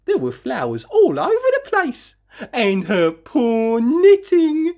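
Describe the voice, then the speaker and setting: A woman reading a children's story aloud expressively, with dramatic emphasis and drawn-out words. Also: a little high, wildly swooping pitch, warm tone. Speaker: storybook reader.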